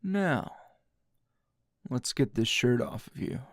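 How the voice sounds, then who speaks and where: A man's voice: mid-range voice, lively intonation, slow pace, no room sound, clean studio sound. A man playing a teasing, dominant, seasoned anime hero as a tender lover in an intimate ASMR roleplay, murmuring breathily and slipping into whispers. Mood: teasing, commanding.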